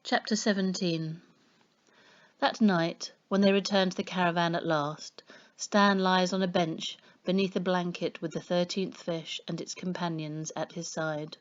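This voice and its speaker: A woman reading a children's story aloud in an expressive storytelling style. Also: fairly low pitch, a little slow, muffled recording. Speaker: story reader. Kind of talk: expressive children's audiobook narration.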